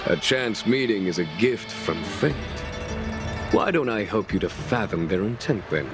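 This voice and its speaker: An actor playing a calm, wry male general in a video game, speaking in a measured, knowing way. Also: fairly low pitch. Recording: game music underneath. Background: game music.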